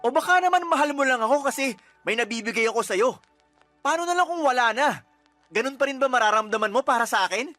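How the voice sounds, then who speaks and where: A man's voice: very high-pitched, animated, fast talker, pushed and bright, no echo, soft background music, exaggerated voice. A young man acting a resentful boyfriend in a radio drama, complaining and accusing. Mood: hurt, accusing.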